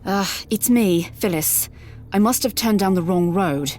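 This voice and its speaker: An actress playing an impatient, exasperated psychic, muttering fretfully in an audio drama. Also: female voice, mid-range voice, measured pace, a little mellow, close to an everyday voice. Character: impatient, exasperated female psychic stranded in woods. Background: crickets and faint music.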